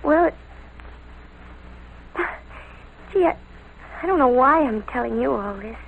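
A high, girlish American voice on a faintly noisy old radio recording. She is a lovestruck, naive teenager, defiant and gushing, speaking in breathless, slangy bursts in 1940s radio drama style. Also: animated, creaky, phone-quality sound, heavily acted. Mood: hesitant, confiding. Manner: breathless, slangy, halting confession.

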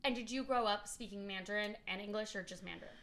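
A young woman from Boston hosting a casual chat podcast, bubbly and quick to laugh and react. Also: mid-range voice, a crowd in the background. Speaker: podcast host.

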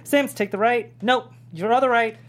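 A woman's voice: a little high, moderate pace. A woman acting as a reader in a script table read, delivering short lines. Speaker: actor reading script parts.

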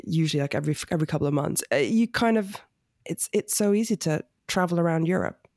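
A woman's voice: sits low, fast talker, darkish tone, no echo. Chatty woman with a dry close sound. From France. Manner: chatty, laughs easily, many fillers. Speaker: family lawyer, podcast guest. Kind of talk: casual interview chat.

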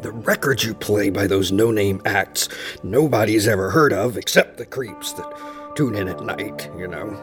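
An actor playing a disturbed, paranoid locked-up man in a horror audio drama, halting and repeating himself as he broods. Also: lowish voice, faint music behind, lightly acted. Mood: paranoid, fervent.

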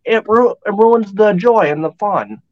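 Guest, male, slightly nasal, higher-pitched, relaxed and plainspoken, dull remote sound. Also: unhurried. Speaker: guest, fan group admin. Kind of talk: casual chat.

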